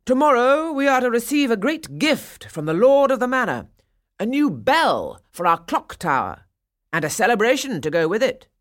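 A woman's voice: on the high side, big pitch swings, moderate pace, voice pushed up. A woman voicing a self-important, big-bellied male storybook mayor making pompous proclamations. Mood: proclaiming.

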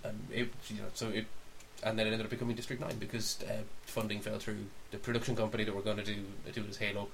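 A man's voice: fairly low pitch, little pitch movement, fast, vocal fry, hardly any pauses, fairly bright tone, rough recording. A man co-hosting a podcast, chatting casually and wryly about films and games in rambling explanations. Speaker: podcast co-host. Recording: faint background hiss.

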